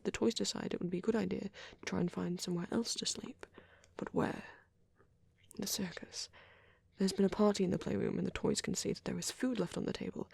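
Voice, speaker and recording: Breathy, whispery, shy vampire voice actor's role. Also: mid-range voice, no echo. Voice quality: soft, breathy, often whispered. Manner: hesitant, halting, murmured musing. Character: shy, gentle young vampire boyfriend on a date. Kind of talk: ASMR roleplay, soft rambling.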